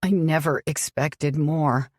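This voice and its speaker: A woman's voice: low-pitched, slow, no echo. A woman voicing an earnest, remorseful young man.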